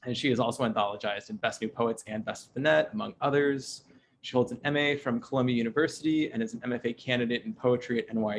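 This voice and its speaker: Creaky-voiced young American man hosting. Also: mid-range voice, a little hiss or hum. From Michigan, United States. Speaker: host and curator of a poetry reading. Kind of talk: casual event introductions.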